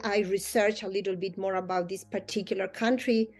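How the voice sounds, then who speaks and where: A mediator whose first language is Spanish talks through her cases in earnest, her phrasing slightly non-native. She laughs easily between points. Faint music sits under her voice at a middling pitch. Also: fairly even intonation, a little fast. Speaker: mediator, interview guest. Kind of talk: reflective interview answers. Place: Australia.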